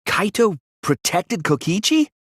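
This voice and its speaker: A man voicing an earnest, thoughtful teenage boy detective in an English video game dub, reasoning deliberately through his lines. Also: high voice, sing-song, slightly rough, dry recording, studio quality, heavily acted. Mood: urgent, alarmed.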